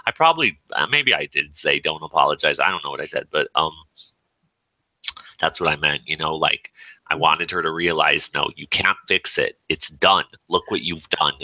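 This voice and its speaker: Very deep, bright, forceful man chatting. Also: lively intonation, normal speed, no room sound, low-quality recording. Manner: emphatic, rambling, acts out quoted remarks. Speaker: participant in an online voice chat. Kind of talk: casual chat, telling a personal story.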